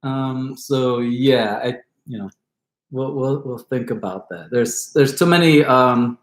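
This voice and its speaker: A man's voice: mid-range voice, slow pace, echoey room. A middle-aged man with a mixed Chicago and New York accent, chatting casually on a live stream with many hesitant fillers. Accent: mix of Chicago and New York. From born in Brooklyn, grew up in Chicago.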